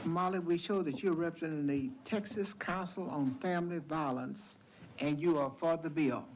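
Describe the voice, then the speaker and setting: A woman chairing a legislative committee hearing, calling witnesses in a brisk, procedural manner. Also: low voice, a little mellow, a little hiss or hum, poor audio. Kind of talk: running a hearing.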